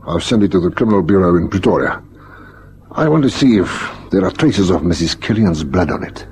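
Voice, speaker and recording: An actor playing a polite, probing police lieutenant in a vintage radio drama, on a faintly noisy recording. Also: male voice, low voice, expressive, brisk, darkish tone, breathy edge, full character voice. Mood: methodical, deferential. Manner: hesitant, courteous questioning.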